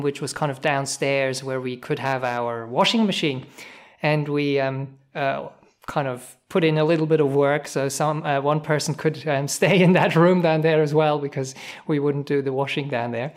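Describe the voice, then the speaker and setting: A man from Switzerland, a Buddhist monk leading a meditation by telling a relaxed personal story, hesitant, with many fillers and soft chuckles. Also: on the high side.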